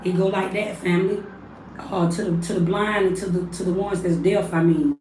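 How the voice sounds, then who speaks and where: Raspy, hoarse woman rambling on a livestream. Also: on the low side, steady tone, moderate pace, darkish tone, slight background noise. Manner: loose, rambling, addresses viewers as family. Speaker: livestream spiritual reader. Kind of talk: casual livestream chat. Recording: echoey room, mic at a distance.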